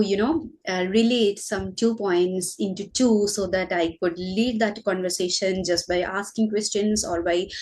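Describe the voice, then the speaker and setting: A woman from Kerala speaking with an Indian English accent, chatting in a relaxed podcast conversation. She talks in a thoughtful way, stopping for small gaps and fillers while she gathers her ideas, at a mid pitch. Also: rough recording. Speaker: school teacher.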